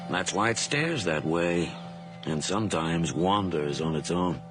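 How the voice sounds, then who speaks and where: An actor playing a plain-spoken, folksy officer in an old radio drama, speaking in a reasonable, deliberate way. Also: male voice, low voice, animated, music in the background. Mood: somber, matter-of-fact.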